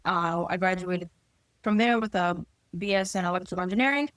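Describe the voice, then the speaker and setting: Podcast guest, woman in her 20s from New Jersey, earnest and steady, dry recording. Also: lowish voice, a little fast, dry recording. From New Jersey, USA. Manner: earnest, lists achievements steadily. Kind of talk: casual interview talk.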